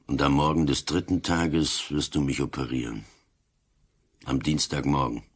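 Very deep, husky old inspector. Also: male voice, moderate pace. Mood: stoic, resigned. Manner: dry, terse, probing. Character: ailing old police inspector, wry, stoic, inscrutable. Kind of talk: German-language radio drama acting.

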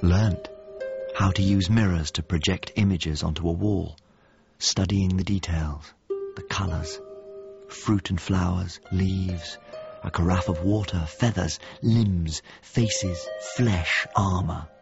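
A brooding painter in a radio drama, searching for a lost friend. His voice is very low and gravelly, close and intimate. The sound is slightly dull. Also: fairly even intonation, slow pace, music in the background, full character voice. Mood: reflective, intense. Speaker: narrator and lead character of a radio drama.

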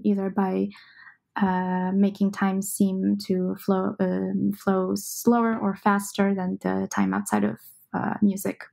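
A young woman talks through her work in a relaxed interview, pausing often and filling gaps with soft "uh"s. Her voice is mellow and dark, with a gravelly fry, and her pitch stays fairly flat. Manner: thoughtful, many "uh" fillers. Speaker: composer, interview guest. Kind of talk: casual interview answers.

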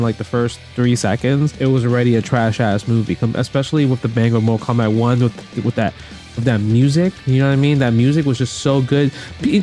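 Male commentator, casual and opinionated, slangy, repeats points for emphasis, mid-pitched, light music bed. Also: a little mellow. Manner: slangy, emphatic, repetitive phrasing. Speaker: guest commentator ranking movies. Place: indoor room at home.

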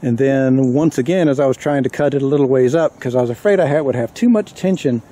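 A man talking casually to camera outdoors in a woodland clearing, rambling and correcting himself as he explains. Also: mid-range voice, fast talker, dark tone. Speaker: vlogger.

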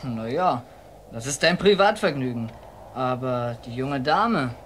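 An actor playing a good-natured, folksy Siberian wagon driver in a German audio drama. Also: male voice, mid-range voice, lively intonation, measured pace, fairly bright tone, a little hiss or hum. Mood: curious, amused.

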